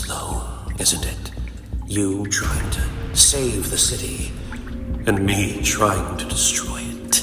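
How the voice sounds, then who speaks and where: A man playing a bitter, grief-stricken, vengeful ice supervillain in a comic dub, with theatrical, brooding delivery. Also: lowish voice. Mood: resigned, sorrowful. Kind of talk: dramatic comic dub acting. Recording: echoing reverb, music underneath. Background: music.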